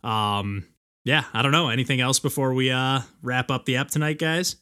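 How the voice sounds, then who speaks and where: A man's voice: mid-range voice, moderate pace, pushed and bright, clean tone. An American man hosting a casual podcast chat in an informal, slangy way. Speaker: podcast host. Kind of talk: casual group chat about games and sports.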